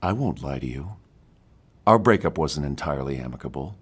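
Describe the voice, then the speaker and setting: An actor playing a smug, evasive murder suspect in an audio drama, sardonic and mock-polite, sometimes stammering. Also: male voice, rumbling low, animated, fairly quick, slightly rough, exaggerated voice. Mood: defensive, condescending.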